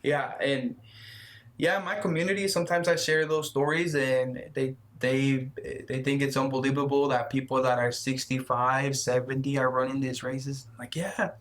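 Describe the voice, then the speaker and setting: A man in his thirties chats casually as an interview guest. He talks in halting bursts, repeats his words, and laughs a little shyly. His voice sits at a middling pitch. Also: level tone, a bit bright. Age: 30s. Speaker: guest runner.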